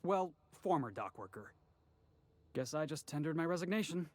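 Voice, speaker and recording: An actor playing an eager, cocky young dock worker in a video game, earnest and a bit stiltedly formal. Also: male voice, on the high side, animated, moderate pace, no echo. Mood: indignant, boastful. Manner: earnest, stiltedly formal when reciting, boastful.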